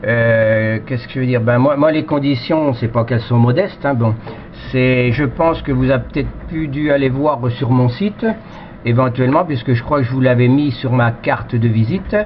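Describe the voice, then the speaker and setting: A man's voice: medium pitch, moderate pace. A man from France, an amateur radio operator, chatting casually over the radio in a rambling way full of verbal tags, on a headset microphone. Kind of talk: casual radio chat, unscripted. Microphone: cheap headset microphone. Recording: dull, noisy radio transmission.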